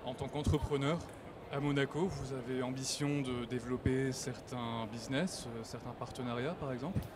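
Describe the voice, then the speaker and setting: A man's voice: mid-range voice, fairly even intonation, normal speed, background noise. A man asking questions as an interviewer in a conversational style.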